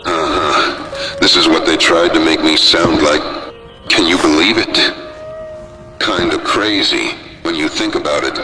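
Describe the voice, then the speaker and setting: A man voicing a weary, wounded toy dog, a gentle last survivor, speaking earnestly and gravely in a dramatic video game style. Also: measured pace, heavily acted. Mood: reflective, serious. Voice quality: very deep voice. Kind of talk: dramatic game character acting. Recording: echoey, loud background music.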